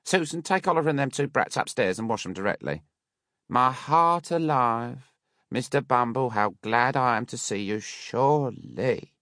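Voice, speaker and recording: A man's voice: mid-range voice, expressive, normal speed, pushed and bright, no echo, studio quality, voice pushed up. A man voicing a fawning, two-faced workhouse matron with sugary, wheedling, uneducated speech in an audiobook. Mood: gushing.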